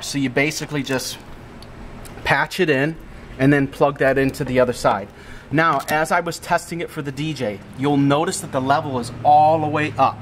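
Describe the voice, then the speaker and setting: A man giving a casual, hands-on equipment walkthrough in a plain-spoken, explanatory way, repeating instructions as he goes. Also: a little high. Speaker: audio equipment installer giving a tutorial. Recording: clearly noisy room background.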